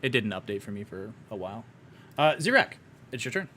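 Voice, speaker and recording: A man's voice: lowish voice, sing-song, sharp tone, a little hiss or hum. Swearing, laid-back young male game master. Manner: profane, joking, quick to laugh. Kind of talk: casual tabletop game running.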